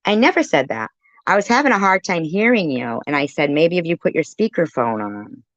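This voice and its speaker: A woman's voice: low-pitched, big pitch swings, a little fast, dry recording, dull audio. A woman giving casual reaction commentary on a live stream, chatty and argumentative as she interjects.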